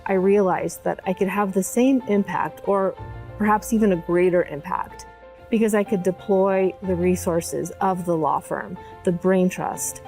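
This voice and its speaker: A woman's voice: fairly low pitch, darkish tone. A woman whose first language is Polish, speaking earnestly in a documentary interview about her work as a pro bono director.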